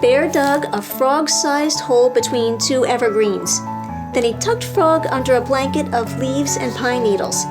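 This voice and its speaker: High-voiced woman reading to children, music behind. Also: a little slow. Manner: expressive, simple phrasing for young children. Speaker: children's librarian, storytime host. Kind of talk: children's storybook read-aloud.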